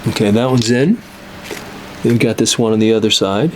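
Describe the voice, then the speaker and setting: A man giving an unscripted hands-on repair tutorial, explaining step by step in a halting way and repeating words as he works. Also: fairly low pitch, slight background noise, low-quality recording. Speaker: instructor demonstrating cassette tape repair.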